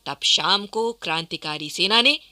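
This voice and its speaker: A woman's voice: fairly low pitch, unhurried, pushed and bright. A woman narrating a historical radio play in an emphatic, formal storytelling manner from a script.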